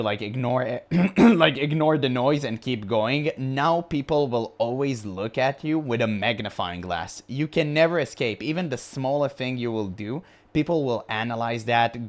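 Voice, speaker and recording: Man with a high voice, blunt and mocking. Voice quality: high-pitched voice, by his own account. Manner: blunt and mocking, laughs often. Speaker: reaction video host. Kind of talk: casual reaction commentary.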